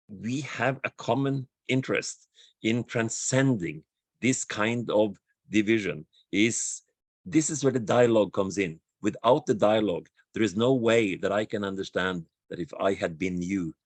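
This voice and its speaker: Low-voiced man speaking slowly on a webinar. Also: no echo. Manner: slow, measured, repeats phrases for emphasis. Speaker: dialogue practitioner, panelist. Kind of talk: webinar talk, reflective. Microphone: video call.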